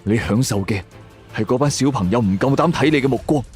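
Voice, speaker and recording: A man's voice: medium pitch, fairly quick, a little mellow, breathy edge, faint music behind, close to an everyday voice. A man voicing a cold, scornful police investigator in a suspense audio story. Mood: accusing. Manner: cold, taunting.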